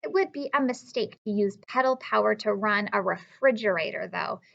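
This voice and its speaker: A woman's voice: medium pitch, moderate pace, gravelly, fairly bright tone, muffled recording. A woman teacher reading aloud a lesson for children, explaining simply and encouragingly with questions to her young listeners. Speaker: elementary school teacher.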